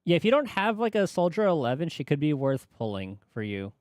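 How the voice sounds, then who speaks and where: A man's voice: on the high side, big pitch swings, a little fast, darkish tone, no echo. A man streaming games, chatting casually and thinking aloud in a tentative, rambling way on a close microphone. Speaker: game streamer. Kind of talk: casual livestream chat about game mechanics.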